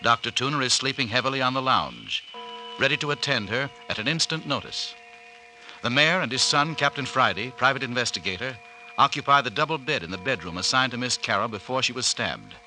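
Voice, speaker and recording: An actor playing a solemn, suspenseful narrator of an old-time radio mystery serial. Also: male voice, mid-range voice, lively intonation, a little fast, pushed and bright. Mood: ominous. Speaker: announcer-narrator. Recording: slightly noisy vintage radio recording.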